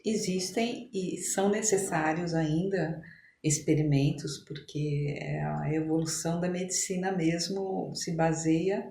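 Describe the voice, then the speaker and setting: A Brazilian woman with a fairly low voice speaks as an interview guest in an echoey room. She is reflective and halting, often starting a phrase again. Some noise sits in the background. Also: warm tone. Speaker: podcast guest, physician. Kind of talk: conversational interview.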